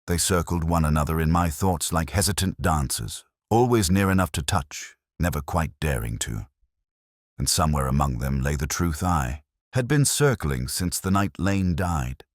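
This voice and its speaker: A man with an extremely low voice narrates a brooding literary mystery in the first person. His delivery is measured and solemn, yet his pitch moves expressively through each phrase. The sound is dry and close, with very little room around it. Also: animated, dry recording. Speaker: audiobook-style narrator of a detective story.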